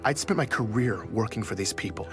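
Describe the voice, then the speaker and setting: A man's voice: fairly low pitch, expressive, fairly quick, lightly acted. A man playing a proud, wronged journalist, telling his story in a confiding, wry first-person audio drama narration. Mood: bitter, resolute. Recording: music under the voice.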